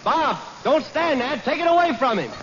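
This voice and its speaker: A man's voice: extremely high pitch, lively intonation, normal speed. A man voicing a timid, naive young sidekick who whines, in a 1940s radio comedy. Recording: dull old radio, loud hiss.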